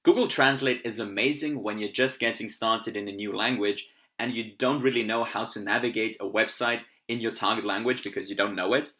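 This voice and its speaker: A young Austrian man with a native German accent walks through a software tutorial at his computer. His bright, forward voice stays upbeat and explanatory, with a few fillers as he clicks through each step. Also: lowish voice, steady tone, brisk, hardly any pauses. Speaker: language learning tutorial host. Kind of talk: screen-recorded software tutorial.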